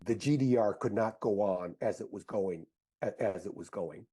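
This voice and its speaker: Slow, husky, halting male interviewee. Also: on the low side, warm tone. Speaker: interviewed author and researcher. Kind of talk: conversational interview answers.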